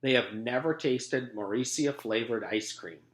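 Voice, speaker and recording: A man's voice: mid-range voice, a little slow, lightly acted. A man voicing a jolly mustached yard teacher telling a story to a class. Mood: storytelling. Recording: echoey room.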